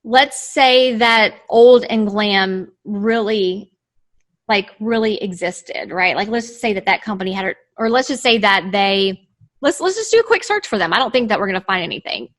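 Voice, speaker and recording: A woman's voice: medium pitch, lively intonation, normal speed, a bit bright. A woman from the United States, a trademark lawyer, talking through her screen on a video call in a chatty way, often restarting her phrases. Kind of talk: casual explanatory chat over screen share.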